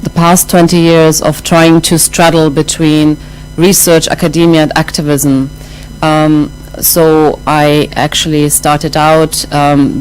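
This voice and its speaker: A middle-aged woman with a German accent giving a lecture, thoughtful and hesitant, with many pauses and restarts. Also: low voice, a little mellow, low-quality recording. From half German, half Iraqi. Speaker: academic speaker.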